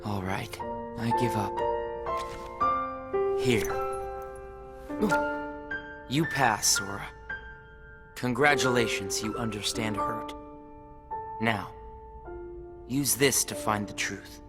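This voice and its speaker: A man playing a brooding, bitter young hooded rival in a video game cutscene, with a grave, deliberate delivery. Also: lowish voice. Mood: resigned. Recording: loud music behind the voice.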